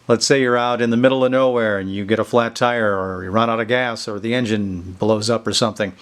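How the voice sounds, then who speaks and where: Guy doing a casual show and tell video, folksy explainer, medium pitched voice. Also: fairly bright tone. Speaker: video host showing vintage electronics. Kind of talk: casual product demonstration. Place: indoors in a basement.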